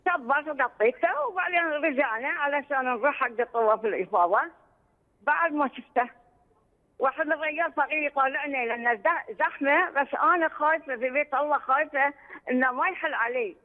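A woman speaks over a phone line, her voice very high and quick. The line is thin and tinny, with a long hollow reverb around her. She rambles anxiously through a personal story. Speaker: caller. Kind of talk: phone-in question to a religious scholar.

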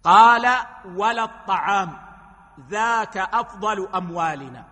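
A man teaching a religious lesson, explaining rulings step by step and quoting sayings with slow emphasis. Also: high voice, pushed and bright, long reverb tail. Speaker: preacher.

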